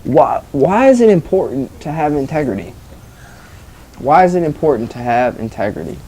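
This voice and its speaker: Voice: medium pitch, very animated, moderate pace, mellow, slightly rough. A teacher reading a story aloud to children and leading discussion with questions and short acknowledgements. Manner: prompting children with questions, acknowledging their answers.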